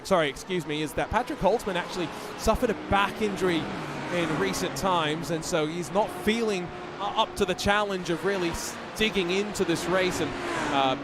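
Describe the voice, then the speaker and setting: A man's voice: sits high, fairly quick, heavy background noise. A man giving live sim racing commentary, chatty and rambling, with fillers and restarts.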